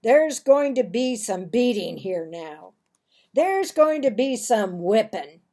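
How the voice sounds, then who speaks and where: A woman voicing a stern, booming father who firmly orders his young sons about, reading from a children's storybook. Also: sing-song, slow pace, mellow, exaggerated voice. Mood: stern, angry. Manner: commanding, emphatic.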